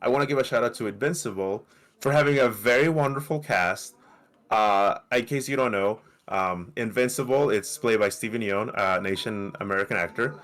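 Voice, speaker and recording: A man with a light Mexican accent who co-hosts a podcast. He argues in a casual, animated way, often restarting and asking "right?". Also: on the low side, brisk. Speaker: podcast co-host. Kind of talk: casual debate.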